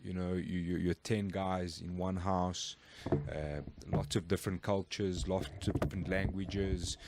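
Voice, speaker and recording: A man hosting a podcast interviews guests in a very deep voice. He keeps his replies brisk and encouraging before moving on to the next question. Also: fairly even intonation, very noisy. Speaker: podcast host.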